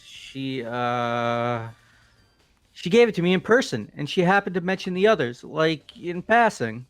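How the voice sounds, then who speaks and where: A man's voice: fairly high pitch, very animated, a little slow. A man voicing a goofy, bumbling, evasive young character in a video game read-through, hesitant and casual. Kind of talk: hobby video game voice acting.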